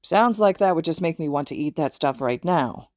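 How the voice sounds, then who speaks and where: A woman's voice: low-pitched, animated, moderate pace, a little mellow, no echo, clean studio sound. A woman voicing a genial older man, a mentor in an audiobook, hearty and slightly formal. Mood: grumbling, playful.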